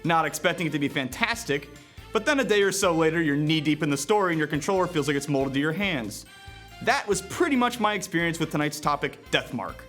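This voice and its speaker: A man's voice: a little high, expressive, a little fast, music in the background. A man narrating a scripted video game review in a playful, conversational manner, with casual asides and emphatic delivery.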